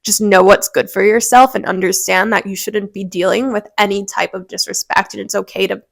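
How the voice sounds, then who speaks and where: A woman's voice: mid-range voice, steady tone, fairly quick, creaky, dry recording. A woman hosting a motivational podcast, talking earnestly and encouragingly straight to her listeners, a little congested. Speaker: podcast host.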